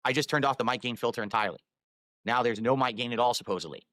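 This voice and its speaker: A man's voice: medium pitch, rapid-fire, pushed and bright, dry recording, studio quality. A man live-streaming, rambling while he fiddles with his microphone and audio settings.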